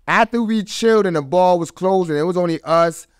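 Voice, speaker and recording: A man's voice: high-pitched, fairly quick, clean tone, dry recording. A man in his thirties hosting a podcast, telling stories in casual, slangy talk and repeating phrases for emphasis on a close studio mic. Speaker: podcast host. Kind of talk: casual chat, storytelling. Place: small studio with foam panels. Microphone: close studio microphone.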